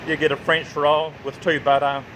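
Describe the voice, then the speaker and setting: A man's voice: a little high, flat delivery, moderate pace, noisy background. A man from New Zealand with a New Zealand and Australian accent, chatting casually through a restaurant tour as a travel vlogger, repeating himself for emphasis. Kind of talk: casual restaurant walkthrough vlog. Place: outdoor riverside cafe with traffic nearby.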